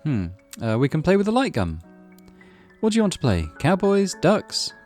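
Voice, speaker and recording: A man voicing a gentle, coaxing boy, the older brother in a visual novel. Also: on the high side, wildly swooping pitch, moderate pace, soft background music, voice pushed up. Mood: coaxing, playful. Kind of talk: visual novel character read-aloud.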